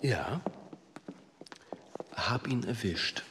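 A man acting in a radio drama as a measured, wry senior police commissioner, formal and understated. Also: on the low side, animated, vocal fry, exaggerated voice. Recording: reverberant hall, faint noise.

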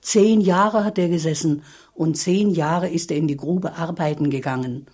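A plain-spoken village landlady in a radio play. She has a very low, mellow voice and speaks up firmly and protectively, in a homely way. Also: moderate pace, slightly rough. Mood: protective, insistent. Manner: homely, emphatic.

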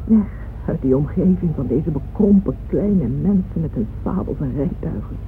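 A woman's voice: deep voice, animated, moderate pace. An actress playing a proud, yearning, wealthy middle-aged mother who narrates intimately and fervently in a radio drama. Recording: muffled phone-like old radio recording, faint noise.

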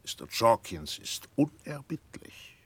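An actor playing a stiff, courteous, evasive lawyer in a 1960s radio drama, speaking formally and pompously in drawn-out phrases. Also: male voice, mid-range voice, big pitch swings, a bit bright, husky, full character voice. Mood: self-righteous, unyielding.

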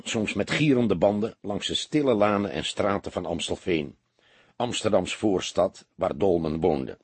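A man narrating an audiobook in an expressive, scripted storytelling style. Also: lowish voice, husky, no echo.

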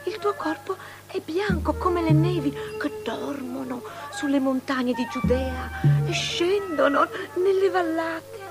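A very high female voice over loud music, an obsessive young princess. She declaims like poetry, sensual and imperious, lingering on repeated words in theatrical radio drama. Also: takes it slowly. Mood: enraptured. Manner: incantatory, lingering repetitions.

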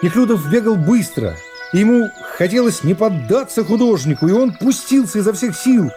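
A man with a slightly high, soft and mellow voice narrates a classic novel for radio. His storytelling is measured and expressive. Plucked guitar music plays faintly behind him. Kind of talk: literary narration in a radio play.

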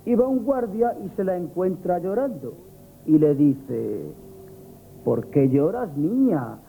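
Cheeky shop clerk, high voice, Spanish accent, wry and gossipy, muffled old radio sound. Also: male voice, sing-song, slow, gravelly, full character voice. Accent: Spain. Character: cheeky chatty male grocery shop assistant. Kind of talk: comic radio theatre acting.

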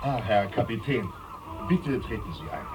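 A laconic manservant in a radio drama. He sounds deferential and deadpan, speaking from away from the microphone with clear noise around him. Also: lowish voice, normal speed. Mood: deferential, dry. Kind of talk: German radio play acting. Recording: distant from mic, clear background noise.

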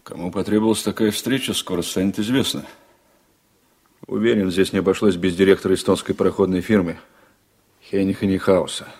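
Radio drama casting: a seasoned seaman, low voice, frank, wry and confiding. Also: fairly even intonation, darkish tone, husky. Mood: wry, knowing. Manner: deliberate, confiding. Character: seasoned, shrewd communist sailor, loyal, frank and wry. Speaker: actor in a radio play. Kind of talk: 1980s Soviet radio drama acting.

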